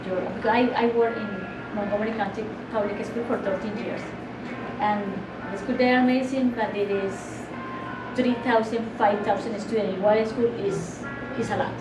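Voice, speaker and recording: A woman from Colombia, a native Spanish speaker with a Colombian accent, speaking as a Spanish teacher at a school open house, halting and restarting her sentences. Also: medium pitch, steady tone, background noise. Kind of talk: open-house classroom talk. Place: school classroom. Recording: echoey room from far off, loud music behind.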